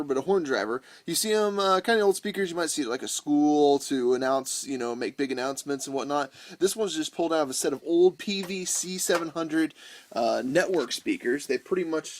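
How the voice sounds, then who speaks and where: A man with an American accent hosting a homemade gear demonstration in casual, unscripted talk, halting and thinking aloud as he explains. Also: on the high side. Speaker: host of a DIY music gear video.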